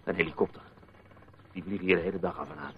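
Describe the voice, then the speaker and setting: A man's voice: deep voice, fairly even intonation, close to an everyday voice. An actor as an intense, suspicious male patient, muffled recording. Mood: recalling, grave. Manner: urgent, insistent, deliberate. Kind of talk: radio drama acting. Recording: dull old radio play recording.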